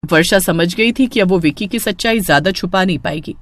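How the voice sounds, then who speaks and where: A woman's voice: on the low side, a little fast, no room sound. A woman narrating a scripted family drama story.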